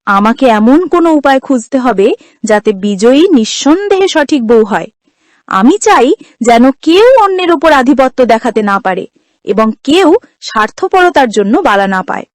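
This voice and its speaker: A woman's voice: high-pitched, lively intonation, a little slow, dry recording. A woman voicing an authoritative, fair-minded older mother-in-law in a cartoon story, firm and instructive. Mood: perplexed.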